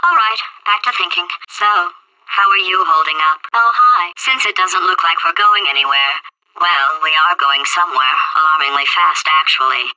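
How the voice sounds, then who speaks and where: A woman voicing a sarcastic female computer AI stuck in a potato, with a robotic processed voice and deadpan insults. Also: fairly low pitch, moderate pace, lo-fi sound. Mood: agitated. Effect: robotic synthetic processing. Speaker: video game character. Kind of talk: game voice acting.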